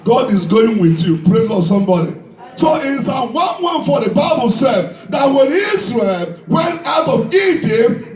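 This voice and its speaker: A man's voice: extremely high pitch, a little mellow. A man preaching a fervent sermon, declaiming and repeating phrases emphatically as he calls for responses from his congregation. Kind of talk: fervent Pentecostal-style sermon with call and response. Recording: echoey hall PA, distant mic, background music.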